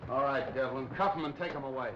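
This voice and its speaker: A gruff police detective played by a man talks in short, brusque, wisecracking bursts. The pitch is average, and the sound is that of a dull, slightly noisy 1930s film print. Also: quick pace, close to an everyday voice. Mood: brisk, commanding. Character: brisk, gruff male American police detective, impatient.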